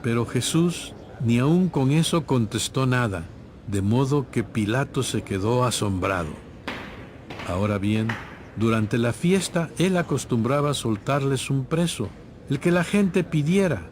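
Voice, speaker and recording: A man's voice: mid-range voice, lively intonation, unhurried, darkish tone, a little hiss or hum. A man reading scripted, dramatized Bible narration. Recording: music bed behind narration.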